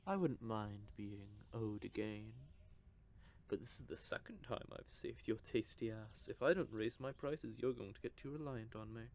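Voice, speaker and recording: A man's voice: on the low side, animated, normal speed, vocal fry, a little mellow. A man voicing a teasing, possessive male vampire in an audio roleplay, with a smug, drawling manner. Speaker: voice actor.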